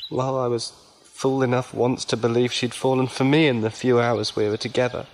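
A man's voice: mid-range voice, little pitch movement, moderate pace, slight background noise, natural voice. A man acting in an audio drama as a lovelorn, timid travelling musician, earnest and hesitant. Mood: dejected.

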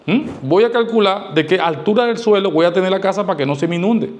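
A man's voice: high-pitched, fast. A middle-aged man, explaining things to camera in an emphatic, didactic way with rhetorical questions and repetition. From Dominican Republic. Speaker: architect giving advice. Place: small indoor studio, seated. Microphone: lavalier clipped to shirt.

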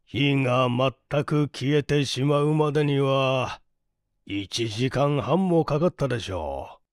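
A man reading a mystery story as a narrator, in a measured, polite and formal delivery. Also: medium pitch, dry recording, studio quality. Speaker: audiobook narrator, stage actor. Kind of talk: dramatized literary reading, mystery novel.

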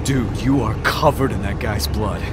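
A man's voice: fairly low pitch, moderate pace, over loud music, lightly acted. An actor playing an anxious, exasperated, sarcastic slacker man in a video game, nagging and swearing. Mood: grim, reproachful. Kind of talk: naturalistic video game voice acting.

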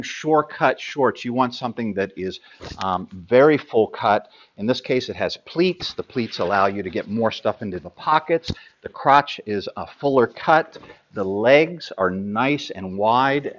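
A middle-aged man talks through sailing gear in a practical, unhurried way, filling pauses with ums and uhs. His voice sits at an ordinary pitch. The studio recording is slightly dull. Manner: practical, hands-on, many ums and uhs while handling gear. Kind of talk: product explainer.

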